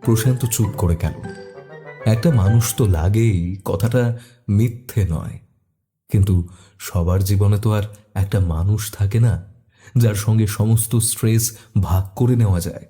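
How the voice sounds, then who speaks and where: A man's voice: lowish voice, a little slow, long pauses, warm tone, soft background music. A man narrating a scripted detective story as an audio storyteller.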